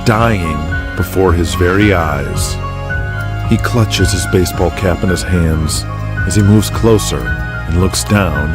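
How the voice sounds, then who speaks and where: A man's voice: low-pitched, slow. A man giving dramatic scripted narration as the narrator of an audio drama. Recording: music under the voice. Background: music.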